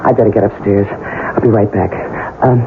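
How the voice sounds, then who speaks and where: Scheming radio-drama wife, muffled and noisy. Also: female voice, rumbling low, steady tone, normal speed. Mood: cool, coaxing. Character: cold, scheming wife, a sultry murderess. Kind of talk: 1980s radio drama acting.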